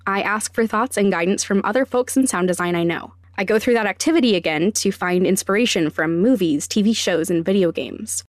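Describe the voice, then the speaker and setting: A woman narrates a scripted podcast as its host, explaining things step by step. Her delivery is wry and self-deprecating, with a mid-range pitch and a close, dry sound. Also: brisk, hardly any pauses, no room sound.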